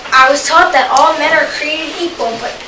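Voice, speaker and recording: A woman's voice: sits high, moderate pace, natural voice. A woman reading a bold, principled college boy in an amateur student play. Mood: polite, resolute. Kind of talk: amateur reader's theater acting. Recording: very noisy, echoey, distant mic.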